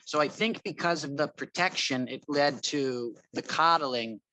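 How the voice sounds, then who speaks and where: A man's voice: on the high side, measured pace, sharp tone, no echo, low-quality recording. A young man from Thailand chatting casually, explaining his ideas in a rambling, tentative way. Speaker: chat participant.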